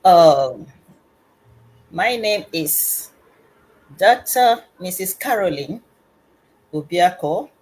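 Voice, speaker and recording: A middle-aged woman from Nigeria, an educationist and business consultant, speaking formally and deliberately on an online panel. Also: fairly low pitch, lively intonation, darkish tone, low-quality recording. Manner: slow, measured, formal delivery with pauses.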